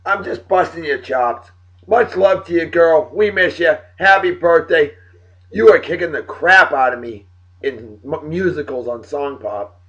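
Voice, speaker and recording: A hoarse middle-aged man with a New England accent talks to his viewers in a chatty, hyped-up way, clearing his throat now and then. His voice sits a little high. The recording is muffled and lo-fi. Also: a little slow, a bit bright. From Massachusetts. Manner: upbeat, hype-filled and chatty, with throat clearing. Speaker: video blog host. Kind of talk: casual beer review vlog.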